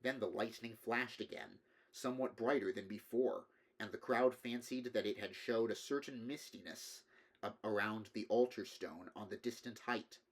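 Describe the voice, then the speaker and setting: A man reading literary horror fiction aloud, with measured, deliberate dramatic narration. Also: lowish voice, fairly even intonation, poor audio. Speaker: audiobook reader.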